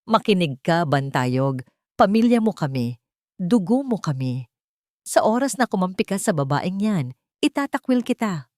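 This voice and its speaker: A woman voicing a domineering, self-pitying older mother in a story, sharp, plaintive and dramatic. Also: on the low side, big pitch swings, a little slow, no echo, studio quality. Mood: sharp, threatening.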